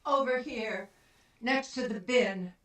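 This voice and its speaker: An actress playing a proud, stubborn older sister who runs a mill, matter-of-fact and self-reliant, in an audio drama. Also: female voice, on the high side, takes it slowly, fairly bright tone. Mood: stoic, strained.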